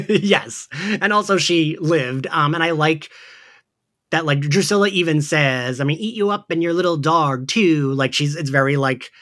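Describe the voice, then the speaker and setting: A man co-hosting a podcast, talking in an excitable, chatty way about something he is a fan of. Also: fairly high pitch, unhurried. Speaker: podcast co-host. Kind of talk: casual fan discussion.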